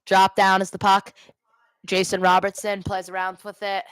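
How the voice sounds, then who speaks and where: A woman's voice: mid-range voice, completely flat delivery, moderate pace, smooth, no room sound. A woman giving live amateur hockey play-by-play for a fan watch party, correcting herself and explaining informally. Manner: exasperated Devils fan, self-correcting, explains plays informally.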